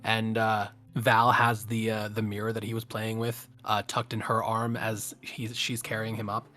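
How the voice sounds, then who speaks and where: A man narrating an improvised tabletop game as game master, in a halting, unhurried manner. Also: fairly low pitch, completely flat delivery, pushed and bright.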